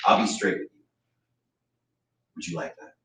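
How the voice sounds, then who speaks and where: An actor in a staged reading playing a bitter, drunken older philosophy professor, pompous and sardonic. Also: male voice, normal speed, a bit bright, lightly acted. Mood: mocking. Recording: echoey room, distant microphone.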